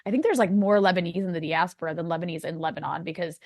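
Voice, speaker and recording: A woman's voice: fairly low pitch, steady tone, fast, a little mellow, no echo, studio quality. A woman with an American accent, a guest in a casual interview, talking in a rambling way full of "like" and restarts. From United States. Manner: rambling, many likes and restarts.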